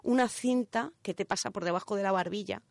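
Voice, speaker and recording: Female radio presenter, Spain accent, mid-pitched, colloquial explainer who keeps checking in, dry studio-style sound. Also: a little fast, creaky, dry recording. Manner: colloquial, checks in with listeners using short tag questions. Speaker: radio show presenter of a science segment. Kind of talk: casual explanatory radio chat.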